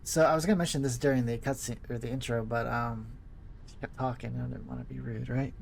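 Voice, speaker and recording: A man's voice: medium pitch, steady tone, quick pace, gravelly, a little hiss or hum. A man giving casual let's play commentary as host, rambling along in a laid-back, hesitant way. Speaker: gaming video host.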